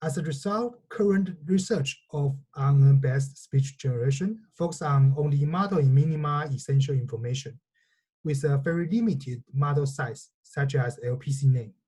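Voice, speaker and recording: A man whose first language is Mandarin, giving a measured, explanatory technical lecture. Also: medium pitch, mellow, breathy edge, low-quality recording.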